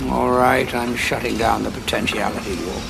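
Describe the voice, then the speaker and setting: An actor playing a weary, war-hardened old male Time Lord in an audio drama, gruff and urgent, pleading when he shouts, with battle noise behind. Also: mid-range voice, fairly even intonation, moderate pace.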